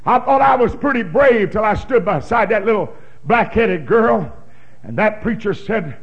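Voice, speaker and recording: A man preaching a sermon, reading scripture in a big booming voice and breaking into fervent shouts. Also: lively intonation, normal speed, dull audio. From Oklahoma. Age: 60s. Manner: fervent, emphatic pulpit delivery with sudden shouts.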